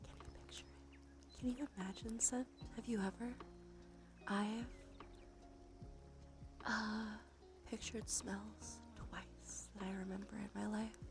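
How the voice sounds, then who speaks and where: A woman doing gentle, unhurried ASMR in a breathy whisper and soft murmur, her nose slightly stuffy. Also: mid-range voice, fairly even intonation. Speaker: ASMR streamer, host. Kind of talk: ASMR, soft intimate role play and rambling. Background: soft music.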